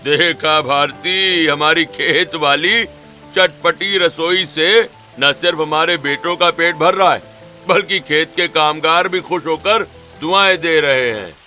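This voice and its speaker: A man's voice: a little high, takes it slowly, pushed and bright, soft background music, full character voice. A man voicing a humble, grateful village farmer in a cartoon story. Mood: proud, grateful.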